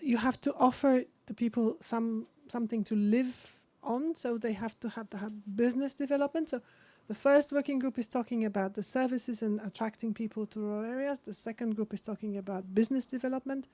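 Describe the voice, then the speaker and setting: A woman's voice: fairly high pitch, brisk, hardly any pauses, warm tone, no echo. A woman moderating a policy workshop session, friendly and rambling, with frequent restarts. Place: conference room.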